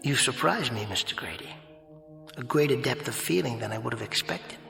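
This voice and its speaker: Casting: mocking unearthly conscience, bright-edged mid-range voice, formal and measured, drenched in reverb, radio drama. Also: male voice, mid-range voice, expressive, gravelly. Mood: wry. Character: calm, sardonic, otherworldly voice of judgement. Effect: heavy reverb. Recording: long echoing reverb, music behind.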